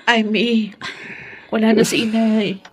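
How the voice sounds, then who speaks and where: A woman's voice: on the high side, level tone, takes it slowly, slightly rough. An actress playing a tender, emotional young woman, a loving granddaughter, in a Filipino radio drama. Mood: grieving, comforting. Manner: emotional, earnest, respectful.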